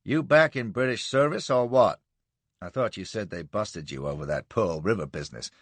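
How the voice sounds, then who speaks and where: A man's voice: lowish voice, lively intonation, moderate pace, no room sound. A man doing a staccato young Yankee commander. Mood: brusque. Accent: American. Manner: staccato, snapping. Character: wounded young American commander, brusque.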